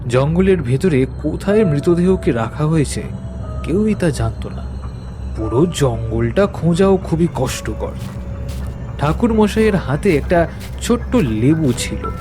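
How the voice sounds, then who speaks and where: A man's voice: fairly high pitch, expressive, a little slow. A man narrating a cartoon story in a scripted, dramatic storytelling style. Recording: prominent music under the voice.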